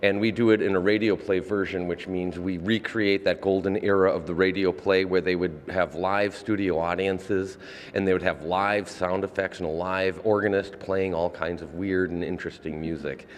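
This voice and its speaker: A man's voice: deep voice, fairly even intonation, normal speed, big-room sound. A man explaining things as a theater director in an interview, wordy and somewhat hesitant.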